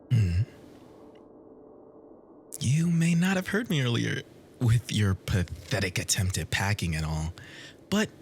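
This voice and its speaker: A performer playing an obsessive, jealous, violently devoted lover in an ASMR roleplay, theatrical and swinging between breathy whispers and manic laughter. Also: mid-range voice, a little hiss or hum. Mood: cold, menacing. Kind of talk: ASMR roleplay audio drama.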